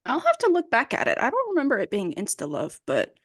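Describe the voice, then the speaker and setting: A woman's voice: a little high, huge pitch swings, fast, no echo, studio quality. A woman co-hosting a casual podcast game, muttering clues to herself as she thinks. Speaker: podcast co-host. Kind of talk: casual chat, guessing game.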